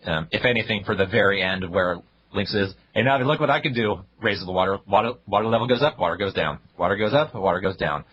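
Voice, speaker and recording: A man's voice: fairly low pitch, fast, fairly bright tone, breathy edge, dull audio. A young man co-hosting a casual podcast, reviewing web videos in a blunt, dry way. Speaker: podcast co-host.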